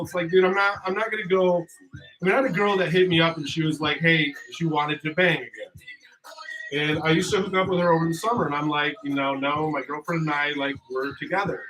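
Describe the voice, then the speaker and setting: A man's voice: a little high, fairly quick, music in the background, poor audio. A man rambling candidly and joking in casual chat with his partner. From Michigan. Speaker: co-host of a couple's channel. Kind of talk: casual chat on a live stream.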